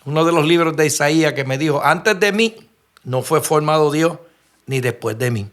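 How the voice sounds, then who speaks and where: Puerto Rican preacher, fairly high voice. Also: male voice, normal speed, fairly bright tone. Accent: Puerto Rican Spanish. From Puerto Rico. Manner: earnest storytelling, frequent restarts and rhetorical questions. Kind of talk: sermon.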